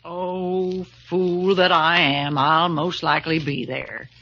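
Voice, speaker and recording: An actress playing a blunt, grumbling but loyal newspaper woman in an old radio drama, with a raspy, tart delivery. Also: lowish voice, flat delivery, slow, exaggerated voice. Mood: tart, reluctant. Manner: tart, exasperated. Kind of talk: old-time radio acting. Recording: dull lo-fi old radio recording.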